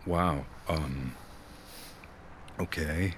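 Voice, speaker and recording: An actor playing an anxious, earnest young night-shift security guard in an audio drama, low and subdued, with music behind him. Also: male voice, very slow, a bit bright, a little hiss or hum, heavily acted. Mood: uneasy, hurt. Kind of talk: audio fiction acting and first-person narration.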